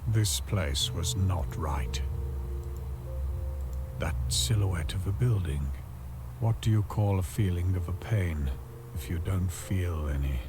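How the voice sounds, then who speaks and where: An actor narrating a gothic adventure game as a brooding, weary storyteller, in a slow, ominous, literary manner. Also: male voice, low voice. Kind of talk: scripted dramatic narration. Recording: music behind the voice.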